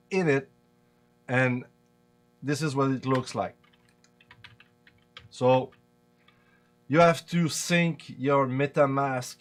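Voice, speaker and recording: Québécois man in a slow, halting stream. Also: mid-range voice, fairly bright tone. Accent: Québécois French. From Quebec, Canada. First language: French. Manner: hesitant, many fillers and restarts. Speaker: streamer giving a tutorial. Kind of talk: casual live-stream tutorial.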